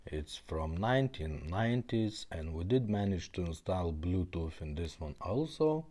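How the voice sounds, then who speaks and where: A man's voice: low voice, lively intonation, a little slow, warm tone. A man giving a casual hands-on product demo, explaining things in simple step-by-step instructions. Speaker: product demonstrator.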